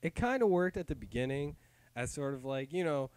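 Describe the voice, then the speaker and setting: A man's voice: mid-range voice, big pitch swings, brisk, darkish tone. A man co-hosting a casual review chat, blunt and dismissive, talking in loose slang. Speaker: co-host of a comic book review show.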